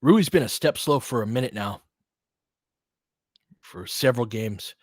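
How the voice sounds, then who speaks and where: Male fan commentator, mid-pitched, dry recording. Clipped live hoops play-by-play, openly partisan, slangy. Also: lively intonation, measured pace, darkish tone, slightly rough, dry recording.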